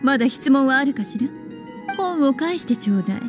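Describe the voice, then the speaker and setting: A woman's voice: high-pitched, animated, fairly quick. A woman voicing a composed, teasing witch librarian in an anime game, poised and coolly condescending with a stern edge. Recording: game music behind.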